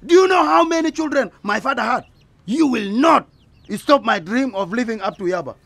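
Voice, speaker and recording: An actor playing an angry, domineering husband in a radio drama, commanding and accusing. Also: male voice, very high voice, animated, normal speed, creaky, fairly bright tone, no room sound, exaggerated voice. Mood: furious.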